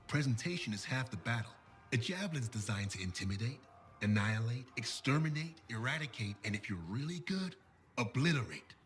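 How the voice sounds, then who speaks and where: An actor playing a passionate armour outfitter in a video game, speaking with grandiose, playful, emphatic showmanship. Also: male voice, mid-range voice, natural voice.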